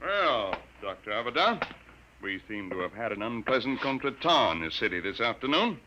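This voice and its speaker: An actor playing an officious military officer in a 1950s radio drama, with a formal manner. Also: male voice, mid-range voice, sing-song, moderate pace, highs cut off, natural voice. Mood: stiffly formal. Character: officious male military officer, curt then stiffly polite. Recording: hissy, clicking old radio recording.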